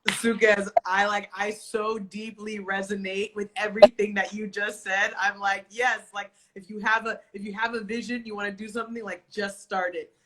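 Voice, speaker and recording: Upbeat lady hosting her own interview show, super bright tone, gushes a lot. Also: mid-range voice, level tone, normal speed, few pauses, rough recording. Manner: gushing, upbeat, lots of "like". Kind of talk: enthusiastic casual interview hosting.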